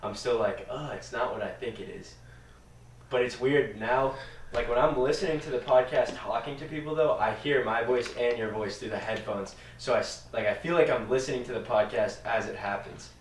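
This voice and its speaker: A young man hosting a casual podcast chat with friends, relaxed and full of "like" and "dude". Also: mid-range voice, moderate pace. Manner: laid-back, filler-heavy, says "dude" and "like" often. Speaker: podcast host. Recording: echoey room, mic at a distance, faint noise.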